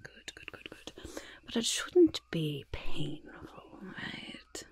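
Goblin disguise expert, played by a woman, ASMR roleplay. Breathy half-whisper with lively swoops, fussy and reassuring, close-miked. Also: fairly low pitch, slow, exaggerated voice. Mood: soothing, careful. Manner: speaks of self in third person, repetitive soothing patter. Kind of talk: soft-spoken ASMR roleplay. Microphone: close-up ASMR microphone.

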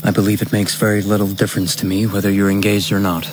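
An actor playing a courteous, reserved young gentleman with a formal manner in a radio drama. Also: fairly low pitch, flat delivery, fast, noisy background, natural voice. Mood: cold. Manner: formal, restrained.

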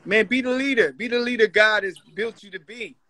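A man's voice: high-pitched, a little fast, pushed and bright, dry recording. A middle-aged man giving an informal motivational talk in an emphatic, urging way. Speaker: guest mentor, magazine editor.

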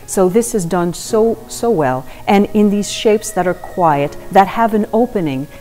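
A middle-aged woman, a partner at an auction house, presenting artworks to camera in a measured, gentle, descriptive way. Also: on the low side.

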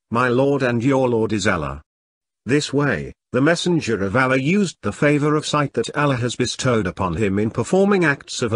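A man delivering a Friday sermon from a prepared English translation in a measured, formal manner. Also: medium pitch, fairly bright tone, no room sound, clean studio sound. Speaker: preacher.

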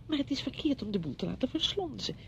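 An actress in a Dutch radio play, playing a brisk, patronising woman who fusses chattily over a widowed friend. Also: sing-song, gravelly, heavily acted. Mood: fussing, disapproving. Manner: chatty, fussing, condescending. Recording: dull radio recording with rumbling noise.

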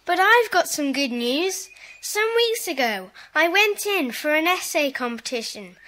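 Very high, bright British schoolboy. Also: female voice, very animated, unhurried, heavily acted, rough recording. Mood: eager, proud. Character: earnest, generous schoolboy club member. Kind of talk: children's audiobook dramatization.

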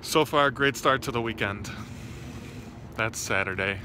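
A man's voice: mid-range voice, a little slow, heavy background noise. A man in his thirties recording a casual video diary on a phone held at arm's length. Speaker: vlogger. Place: outdoors on city residential streets.

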